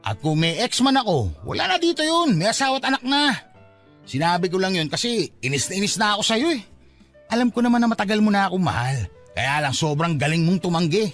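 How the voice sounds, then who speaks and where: A man's voice: sits high, very animated, fast talker, fairly bright tone, heavily acted. A man playing a cheeky, scheming young suitor, teasing and insistent, in a Filipino radio-style romance drama. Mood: teasing, smug. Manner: teasing, wheedling, playful. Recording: music under the dialogue.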